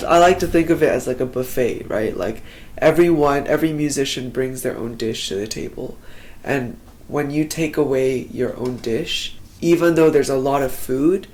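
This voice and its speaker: A man's voice: mid-range voice, poor audio. A young man from Hong Kong, a singer-songwriter, talking casually in a documentary interview with lots of "like" fillers. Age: young adult. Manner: casual, relaxed, heavy use of "like" fillers.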